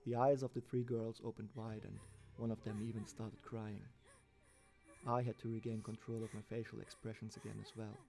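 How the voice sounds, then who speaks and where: Gravelly male horror storyteller over music. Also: fairly low pitch, level tone, normal speed, dark tone. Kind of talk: scripted first-person creepypasta narration. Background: background music.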